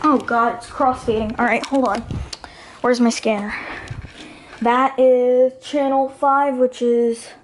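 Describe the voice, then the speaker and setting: A woman with a fairly high voice hosts a hobby video. She talks casually and informally, wandering through her commentary as she goes. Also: steady tone, slow, background noise.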